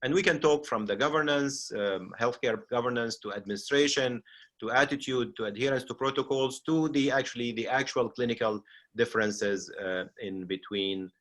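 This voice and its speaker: A middle-aged man with a Syrian Arabic accent, moderating a seminar as a physician and speaking off the cuff with frequent pauses. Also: medium pitch, fairly bright tone. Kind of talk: unscripted seminar discussion.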